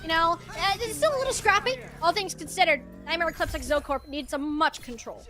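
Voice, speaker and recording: A woman's voice: very high voice, brisk. A woman giving live esports commentary in a playful, slangy way. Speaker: esports caster.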